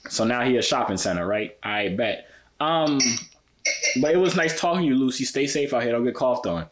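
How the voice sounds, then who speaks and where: A man's voice: mid-range voice, expressive, fairly quick, over a noisy crowd. A man in his twenties with an American accent chatting casually in slang, playful and teasing. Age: 20s. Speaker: vlogger chatting with strangers online.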